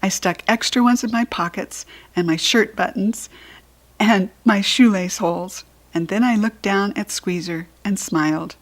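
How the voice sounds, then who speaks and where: American lady reading a kids book, animated storytelling, mid pitched voice. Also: unhurried. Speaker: storybook reader. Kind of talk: expressive children's story narration.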